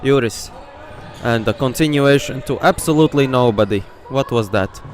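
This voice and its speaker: A man with a Latvian accent whose first language is Latvian, giving excitable, openly partisan live play-by-play commentary. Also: mid-range voice, moderate pace. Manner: excitable, openly partisan, bursts into shouts on big plays. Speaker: sports commentator. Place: indoor sports hall.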